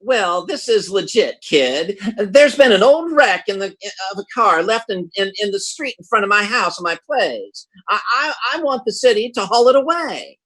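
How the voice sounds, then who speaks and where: A man's voice: high voice, sing-song, moderate pace, hardly any pauses, fairly bright tone, heavily acted, rough recording. A man playing a folksy, self-important American husband in old-time radio comedy style, stammering and blustering. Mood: impatient.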